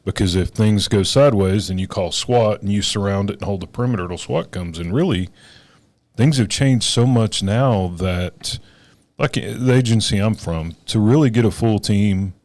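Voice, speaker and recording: A man's voice: on the low side, moderate pace, a little mellow. A man co-hosting a podcast, a police officer speaking in an earnest, emphatic way. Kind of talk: casual podcast conversation.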